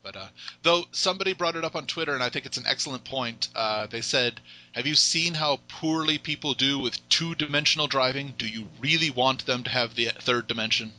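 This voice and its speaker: A male podcast host with a very bright, sharp-edged voice at a middling pitch. He keeps the talk casual and playful and often drifts into silly jokes between his questions. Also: a little fast, rough recording. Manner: playful and jokey, fond of silly asides.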